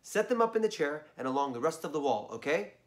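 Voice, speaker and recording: A man voicing an eager young girl who pleads and leads her friends in play, a little hoarse. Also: on the high side, fast. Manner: excited, pleading.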